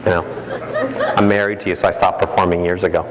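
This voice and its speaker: A man's voice: sits low, level tone, a little fast, a crowd in the background, background noise, pitched below the performer's own voice, natural voice. A man putting on a dull, lazy husband. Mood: bored. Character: bored complacent married man, flat and lazy. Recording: echoey hall, faint ambience.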